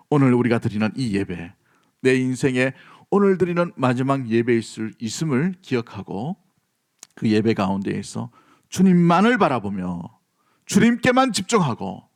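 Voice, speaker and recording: Emphatic Korean male preacher. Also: medium pitch. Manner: emphatic, repeats key phrases, questions the congregation. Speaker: pastor preaching. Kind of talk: sermon.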